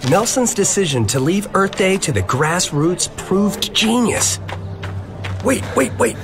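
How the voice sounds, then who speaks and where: A man's voice: high-pitched, sing-song, takes it slowly, exaggerated voice. A man narrating a scripted comic-book history in an expository, emphatic, dramatized way. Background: music.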